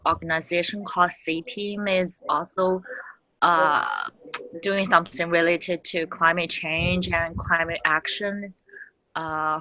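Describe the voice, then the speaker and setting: A woman from China, introducing herself on a video call with hesitant pauses. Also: fairly low pitch, bright, forceful tone, a little hiss or hum, poor audio. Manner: hesitant, searching for words.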